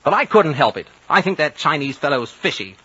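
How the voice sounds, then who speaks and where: A hot-headed young British officer, rather high and sharp, swinging widely in pitch. He is blunt and easily indignant, heard on a dull old radio drama broadcast. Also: sing-song, a little fast, husky, heavily acted. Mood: anxious, suspicious. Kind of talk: 1950s radio drama acting.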